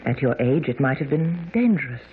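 An actress playing a gracious, composed young wife in a radio drama, polite and solicitous. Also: female voice, extremely low pitch, lively intonation, fairly quick. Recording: muffled, hissy old radio broadcast.

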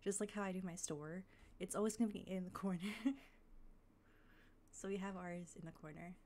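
A woman live-streaming a game, chatting casually with fillers and thanking followers, her voice slightly raspy as she comes down with a cold. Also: on the low side, fairly even intonation, moderate pace, darkish tone, a little hiss or hum. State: coming down with a cold.

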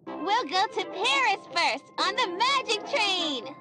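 A cheerful little girl presents a cartoon children's show. Her voice is high and animated, and she speaks slowly and sing-song, putting questions straight to young viewers. Music plays behind her. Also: exaggerated voice. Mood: bright, cheerful. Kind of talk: children's educational cartoon acting.

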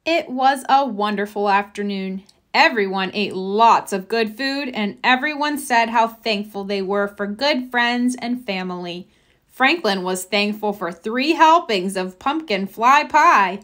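A woman reading a children's story aloud in an expressive, careful storytelling manner. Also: medium pitch, unhurried. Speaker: storybook reader. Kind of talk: children's read-aloud narration.

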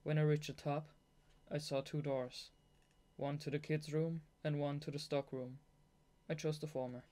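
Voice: medium pitch, level tone, normal speed, warm tone. A young adult native German speaker reading a novel aloud as amateur audiobook narration, sometimes breaking into gushing fan asides. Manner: giggly, gushing fangirl asides between read passages. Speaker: audiobook reader.

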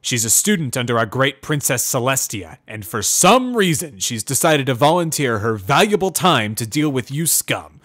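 A man's voice: mid-range voice, sing-song, normal speed, few pauses, fairly bright tone, pitched above the performer's own voice. A man voicing a stern, bullying pony prison guard in a dramatic story reading, barking commands with contempt. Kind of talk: dramatic reading of fan fiction.

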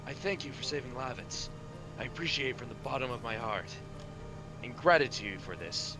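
A man voicing a gentle, courteous young king in a fantasy video game, soft and formal. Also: mid-range voice, moderate pace, over music, lightly acted. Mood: gentle concern.

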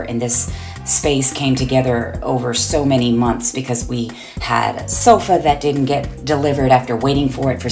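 Brief: female designer, upbeat voice-over narrator, very deep, gravelly tone. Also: a little mellow. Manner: upbeat, enthusiastic. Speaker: interior designer. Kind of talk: voice-over narration of a home reveal.

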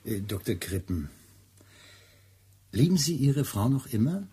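An actor playing a polite, skeptical police inspector in a radio drama, with a husky voice and a dry, probing manner. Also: male voice, medium pitch, sing-song, measured pace, warm tone, heavily acted. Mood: businesslike. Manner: probing, dry, hesitant lead-ins. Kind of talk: German radio drama acting.

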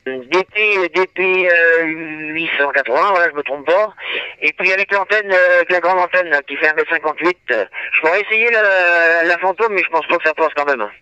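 A man's voice: a little high, a little fast, pushed and bright, clean tone, dry recording. A man chatting casually as an amateur operator, heard over a two-way radio. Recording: dull, dry two-way radio transmission.

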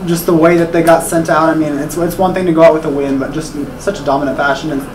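A man's voice: fairly high pitch, flat delivery, quick pace. A man, a reporter, asking questions at a press conference in a rambling way, restarting as he goes, picked up off-mic in the room. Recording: roomy, noisy, off-mic.

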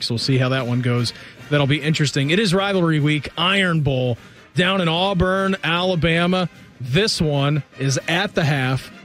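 Man, radio co-host, steady score rundown, faint music bed. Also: on the high side. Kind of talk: post-game radio talk show.

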